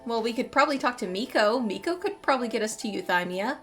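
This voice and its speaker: Woman streamer chatting over a game, playful, highish voice, faint music behind. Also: lively intonation, fast talker. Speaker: gaming streamer. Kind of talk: casual game commentary.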